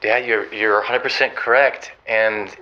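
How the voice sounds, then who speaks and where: A man playing a flustered, exasperated groom-to-be phoning an advice show, halting and stammering, on slightly dull phone-in sound. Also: on the low side, fairly even intonation, close to an everyday voice. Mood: troubled. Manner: halting, stammering, quotes dialogue animatedly. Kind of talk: improvised comedic phone-in.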